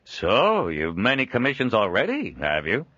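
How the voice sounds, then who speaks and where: A booming, jolly middle-aged merchant, as a man puts him on, pitched up and loud with wide, bouncing swings in his voice. His talk is dry and dull in tone, close and unroomy. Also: huge pitch swings, measured pace, dry recording, exaggerated voice. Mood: jovial. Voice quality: hearty, booming, laughs often. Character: jovial middle-aged male traveling trader.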